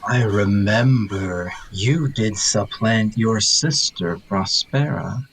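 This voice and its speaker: A man playing a king's brother, a wary nobleman drawn toward treason in a Shakespearean audio drama, speaking in a measured, questioning way. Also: fairly low pitch, low-quality recording. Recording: remote recording with music underneath.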